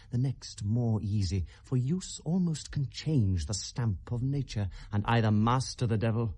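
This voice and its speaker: An actor playing an anguished, accusing young prince in 1970s British classical radio drama, declaiming verse in a Received Pronunciation accent with feverish intensity. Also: male voice, fairly low pitch, warm tone, breathy edge. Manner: declamatory, impassioned, theatrical.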